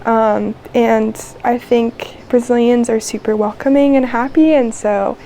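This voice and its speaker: A young woman in her twenties with an American accent talks through her answers in a hesitant, casual way, filling her sentences with ums and likes. Her voice sits a little high and has a soft, mellow tone. A faint hiss runs under the recording. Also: fairly even intonation, a little slow, poor audio. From United States. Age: 20s. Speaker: interview guest. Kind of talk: casual interview chat.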